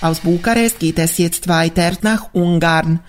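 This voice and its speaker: A woman radio presenter with a low voice reads out scripted announcements. Her delivery is formal and measured. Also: soft background music.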